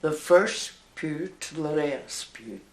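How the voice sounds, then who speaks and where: Very old Northern Irish woman, slow speech. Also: low-pitched, lively intonation, roomy sound, a little hiss or hum. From Northern Ireland. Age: over 100. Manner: slow, halting, with soft laughs. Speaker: interviewee, elderly nun. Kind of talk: oral history interview.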